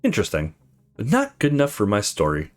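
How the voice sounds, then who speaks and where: A man with a low voice hosts a let's play, moving between reading story text aloud and informal commentary. His delivery is lively, with wide swings in pitch, and music plays behind him. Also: brisk. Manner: informal, sweary, reacts off the cuff. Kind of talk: casual gaming commentary mixed with reading dialogue aloud.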